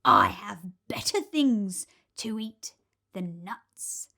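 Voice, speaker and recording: A huge, greedy crocodile from a children's storybook, acted out by a woman. He is sly and boastful, lingering very slowly on each word with menacing relish. His pitch rises and falls freely as he gloats. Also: wildly swooping pitch, vocal fry, bright, forceful tone, heavily acted. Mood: sly, gloating. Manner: slow, menacing, relishing words, drawn out.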